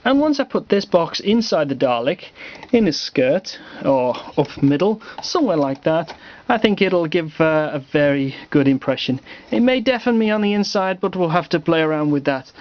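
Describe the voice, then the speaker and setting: A British man giving a casual, halting hobbyist demonstration at home, explaining things offhandedly. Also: high-pitched. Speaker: hobbyist presenter. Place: small cluttered room at home. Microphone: handheld camera microphone. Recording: slightly muffled lo-fi camera audio.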